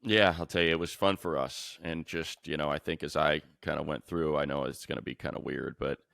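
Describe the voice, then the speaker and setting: A man's voice: rumbling low, steady tone, fairly quick, creaky, a bit bright, no room sound, studio quality. A man hosting a football show, telling stories in a casual, enthusiastic, colloquial way. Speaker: sports show host and football play-by-play announcer. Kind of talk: casual sports talk, animated storytelling.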